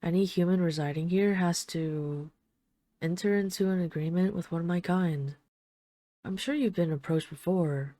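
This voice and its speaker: Low, mellow flirtatious vampire lady played by a woman. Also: dry recording, clean studio sound, close to an everyday voice. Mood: probing, matter-of-fact. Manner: teasing, coaxing, deliberate with lingering pauses. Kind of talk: intimate audio roleplay addressed to the listener.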